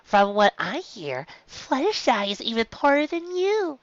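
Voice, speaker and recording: A woman performs a snobbish little filly pony in a storybook reading. The voice is raised in pitch, slow and sneering, with a mean schoolgirl drawl on a slightly dull recording. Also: very animated, dry recording. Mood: mocking.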